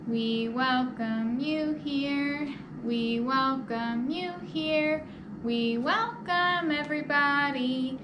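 A woman's voice: sits high, extremely slow, music in the background. A young woman hosting storytime, reading a picture book aloud to children and addressing young listeners with simple questions. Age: young adult. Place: indoor children's library room. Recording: roomy echo, clear background noise.

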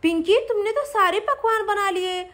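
A woman's voice: very high voice, lively intonation, moderate pace, fairly bright tone, voice pushed up. A woman voicing a cheerful, doting mother who chats encouragingly in a children's doll story. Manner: encouraging, chatty.

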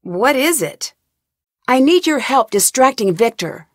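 A woman's voice: high voice, sing-song, measured pace, dry recording, clean studio sound, exaggerated voice. A woman voicing a young woman detective in game voice lines, asking earnest, inquisitive questions in a polite tone. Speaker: voice actor. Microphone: studio mic, dry.

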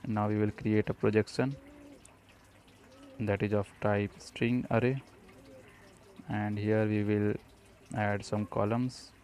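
A man presenting a step-by-step programming tutorial, speaking haltingly in short fragments. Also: lowish voice, level tone, a little mellow. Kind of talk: step-by-step screencast tutorial narration.

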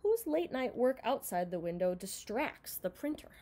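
A young woman reading a children's picture book aloud in an expressive, dramatized way, recorded in a room at home. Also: on the high side, moderate pace. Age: young adult. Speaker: storybook reader.

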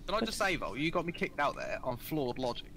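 A man's voice: fairly high pitch, big pitch swings, brisk, very noisy. A man in a game voice chat, arguing his case. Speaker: game player. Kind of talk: casual voice chat.

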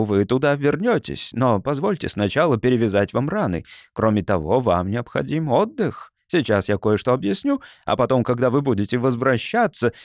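A man voicing a brisk, patronizing, smooth-talking mysterious man from the future in an audiobook. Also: medium pitch, dry recording, studio quality.

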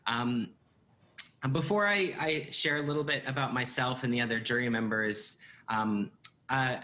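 Bright-voiced American man moderating. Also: medium pitch. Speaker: panel moderator. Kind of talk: casual panel hosting over video call. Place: indoor room at home on a video call. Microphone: computer video call.

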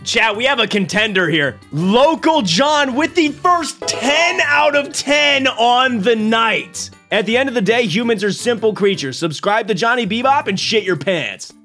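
A man's voice: very high voice, animated, unhurried, pushed and bright, faint music behind. A man in his twenties streaming from a small room at home into a desk mic, joking energetically and laughing often. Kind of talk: casual live-stream commentary. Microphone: desk mic on boom arm.

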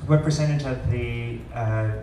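A man presenting a live software demo at an indoor meetup, explaining informally with frequent pauses, picked up by a distant camera. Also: mid-range voice. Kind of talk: live product demo talk. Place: large wood-ceilinged meetup room, indoors. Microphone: far camera recording the room. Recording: roomy, distant pickup with faint noise.

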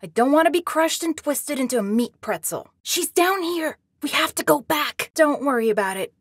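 A woman's voice: sits high, animated, moderate pace, dry recording, studio quality. A woman voicing a scared but defiant young boy in a video game, with an urgent, plaintive and sometimes snarky manner. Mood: wary, quiet.

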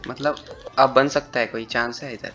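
A man's voice: medium pitch, steady tone, normal speed, lo-fi sound. A man playfully flirting with strangers on a video chat, using cheesy lines. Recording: loud music behind the voice.

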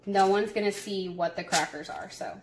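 A young woman narrating a casual cook-along in her home kitchen, chatty and relaxed, laughing at her own slips. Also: medium pitch, moderate pace, noisy background. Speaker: home cooking vlogger. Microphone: phone camera over the counter.

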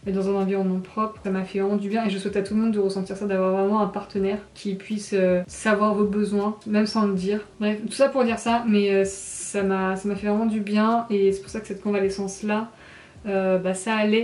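A young woman talks to camera in an informal, confiding way. She speaks quickly and with little rise or fall in pitch, sounding congested and nasal. The small bathroom adds a clear echo around her voice. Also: a little mellow. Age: 20s. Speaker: vlogger. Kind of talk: casual chat to camera. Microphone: camera at arm's length. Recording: echoey tiled bathroom.

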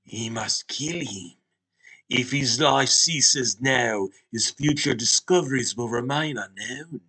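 A man's voice: medium pitch, slow, pushed and bright, slightly rough, full character voice, poor audio. A man voicing a solemn, prophetic talking snack pastry, male, with a slight English accent and grand, archaic phrasing. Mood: foreboding.

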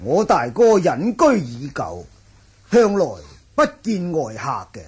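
Husky, measured manor lord. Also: male voice, on the high side, big pitch swings, exaggerated voice. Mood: formal. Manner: formal, deferential. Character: polite, measured martial-arts manor lord, second brother. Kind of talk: 1980s Cantonese radio drama acting.

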